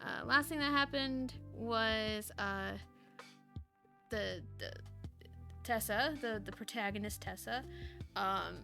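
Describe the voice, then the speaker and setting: Bright-voiced woman streamer, slow and informal. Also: fairly high pitch, gravelly, faint music behind. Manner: giggly, self-deprecating, informal. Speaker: streamer reading fan fiction aloud on a livestream. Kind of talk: casual livestream chat and story read-aloud.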